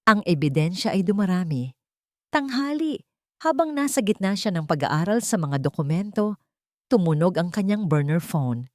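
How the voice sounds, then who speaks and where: A woman narrates an audio drama as its storyteller. Her voice sits a little low, and the delivery is scripted and dramatic. The recording is very dry. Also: expressive, normal speed, a little mellow, no room sound.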